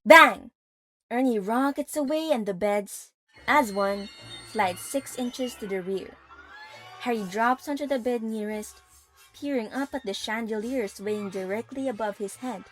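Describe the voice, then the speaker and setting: Woman narrating dramatically over soft music. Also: on the high side, a little slow, rough recording. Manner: vivid, dramatic storytelling. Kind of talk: dramatic scripted story narration. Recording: music under the voice.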